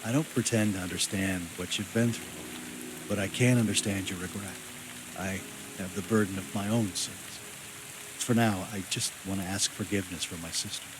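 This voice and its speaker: A low-voiced, brooding man in mourning played by a male actor, weighed down by guilt, speaks somber, measured video game dialogue. Steady rain noise runs clearly behind him. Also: natural voice. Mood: grief, regret.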